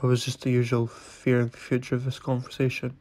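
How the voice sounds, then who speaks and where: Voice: mid-range voice, completely flat delivery, fairly quick, a little mellow, a little hiss or hum, lightly acted. An actor playing an awkward, anxious, self-deprecating young introverted man in short-story dialogue, hesitant and dry.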